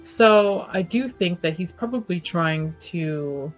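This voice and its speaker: A woman playing a tabletop roleplaying game, casually musing out loud over what her character should do. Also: on the low side, animated, slow pace, faint music behind.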